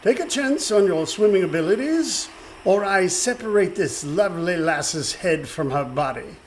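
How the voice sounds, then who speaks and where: An actor playing a blustering, villainous pirate captain in a radio play, with a pompous, theatrical, mock-courteous delivery. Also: male voice, high voice, lively intonation, moderate pace. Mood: smug, menacing. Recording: faint background noise.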